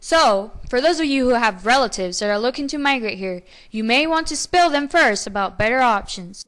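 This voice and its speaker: A woman's voice: fairly high pitch, lively intonation, normal speed, fairly bright tone, a little hiss or hum. A young woman playing a slangy 1920s radio co-hostess, reading her script aloud. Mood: informative. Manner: scripted, read-aloud delivery with period slang. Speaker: radio co-host.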